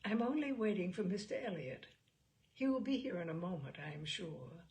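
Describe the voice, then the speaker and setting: Period audiobook role: woman narrator as polite young gentlewoman, composed, quietly firm, creaky. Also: on the low side, expressive, normal speed, a little hiss or hum. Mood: composed, assured.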